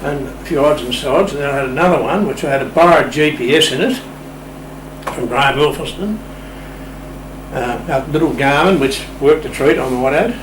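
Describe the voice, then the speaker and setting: A man's voice: mid-range voice, moderate pace. Older Australian man, roomy distant recording. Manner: wry, chuckling raconteur with playful understatement. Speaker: interview guest. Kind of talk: casual anecdotal storytelling. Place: radio shack room. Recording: roomy, distant mic, clear background noise.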